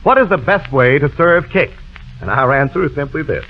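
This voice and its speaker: Tinny 1940s radio ad man, very animated. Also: on the high side, fairly quick. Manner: emphatic, polished salesmanship. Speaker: radio commercial announcer. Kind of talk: 1940s radio advertisement, scripted pitch. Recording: tinny narrow-band 1940s radio recording, music behind.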